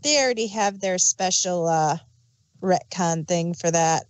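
A woman's voice: lowish voice, unhurried. A woman reviewing an audio drama in casual podcast chat.

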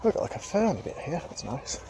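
A man's voice: fairly high pitch, fast talker, gravelly. Man rambling on a woodland walk. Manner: rambling, relaxed, thinking aloud. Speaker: vlogger. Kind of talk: casual walking commentary. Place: woodland outdoors. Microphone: handheld phone. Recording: outdoor phone audio with loud noise. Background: traffic noise.

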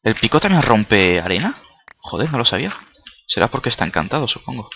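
A man's voice: mid-range voice, sing-song, fairly quick, creaky, sharp tone, a little hiss or hum. A man who is not a native Spanish speaker, chatting casually while playing a video game, joking and swearing. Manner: chatty, swears a lot, jokey, startled outbursts. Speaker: gaming video creator. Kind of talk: casual let's-play commentary.

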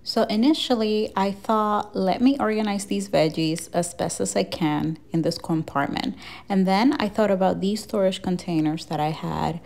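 A woman's voice: mid-range voice, fairly even intonation, moderate pace, gravelly, darkish tone, faint music behind. A woman in her thirties vlogging from her home kitchen, talking casually and encouragingly to camera about organizing. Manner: chatty and encouraging, laughs at her own mess. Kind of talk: casual talk to camera with voice-over.

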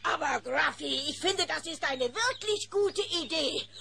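A woman voicing a firm, kindly motherly bear with a gravelly voice in a children's cartoon audio drama. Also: high-pitched, expressive, measured pace, sharp tone, slight background noise, heavily acted.

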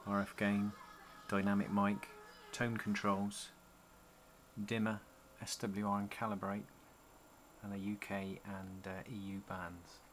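A man giving a casual, halting walkthrough as a hobbyist showing off his gear. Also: on the low side, completely flat delivery, fairly bright tone. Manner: halting, pausing between short phrases. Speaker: CB radio hobbyist presenting a product demo. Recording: home camcorder with noticeable background noise.

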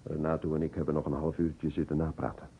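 Deep-voiced elderly professor, old radio. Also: male voice, level tone, slightly rough, heavily acted. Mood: assured, talkative. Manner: deliberate, reminiscing, searching for names. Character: elderly male professor of surgery, courteous, talkative. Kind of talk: Dutch radio play acting. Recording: muffled old radio recording, narrow band.

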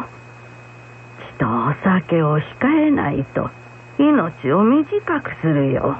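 Frank, caring old village woman played by an actress, slow and melodic, for radio drama; dull hissy recording. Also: fairly low pitch, full character voice. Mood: concerned, admonishing. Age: older. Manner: unhurried, gently admonishing. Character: kindly, frank old blind woman acupuncturist. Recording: muffled radio recording with hum, faint noise.

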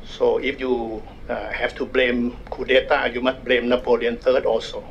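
An older man with a Thai accent, speaking at a desk microphone as a guest speaker at a university public forum, wry and measured with self-deprecating humor. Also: mid-range voice, level tone, vocal fry, lo-fi sound, slight background noise. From Thailand. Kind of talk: public lecture. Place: university conference room.

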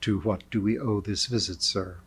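A man voicing a calm, courteous male celestial peacemaker in a storybook, soft-spoken, measured and formal. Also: fairly low pitch, husky, voice pushed down. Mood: polite, measured.

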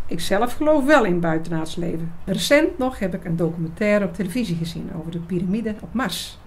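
An actress playing a frank, expressive young woman in an audio drama. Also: mid-range voice, a little hiss or hum, natural voice. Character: lively, frank young woman in love with priest friend. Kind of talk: Dutch audio drama dialogue.

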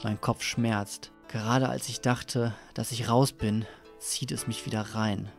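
A man's voice: medium pitch, fairly even intonation, unhurried, creaky. An actor playing a tormented, disoriented man, narrating his nightmare dramatically in an audio drama. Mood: exhausted, brooding. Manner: brooding, dramatic. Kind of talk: scripted first-person audio drama narration. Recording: music under the voice.

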